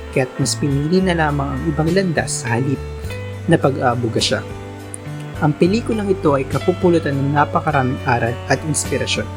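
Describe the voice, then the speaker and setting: A man with a mid-range voice narrates a radio drama as its DJ, formal and measured. Guitar music plays clearly behind him. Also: mid-range voice.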